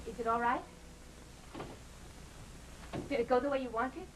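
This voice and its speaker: An actress playing a devoted, romantic wife of a gambler in an old radio drama, speaking in a tender, coaxing, intimate way. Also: female voice, on the high side, fairly even intonation, unhurried, lightly acted. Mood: supportive, concerned. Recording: slightly noisy old radio recording.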